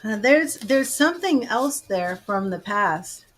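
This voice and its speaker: A woman's voice: mid-range voice, lively intonation, slow, fairly bright tone. A woman giving live tarot readings, chatting to viewers by name and musing over the cards. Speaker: tarot reader.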